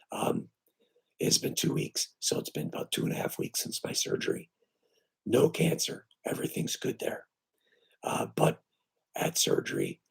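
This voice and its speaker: A man with weak, breathy and raspy vocal cords, hoarse and short of breath, hosting a casual live stream from home on his computer and talking haltingly with many fillers. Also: rumbling low, rough recording. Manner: halting, with many fillers and restarts, lively when greeting viewers. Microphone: computer microphone.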